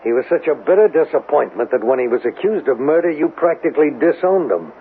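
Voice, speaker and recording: A narrow-band old radio recording carries a world-weary American police lieutenant. His gravelly voice swings widely in pitch as he probes and doubts in a matter-of-fact manner, in 1970s radio drama style. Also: lively intonation, fast talker, a little hiss or hum. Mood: probing.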